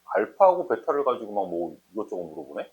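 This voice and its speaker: Male math tutor, husky voice with animated intonation; informal, explains step by step and checks in often. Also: on the low side, fast, dull audio. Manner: conversational, often checks understanding with short questions. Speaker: math teacher. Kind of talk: informal explanatory math lesson, thinking aloud.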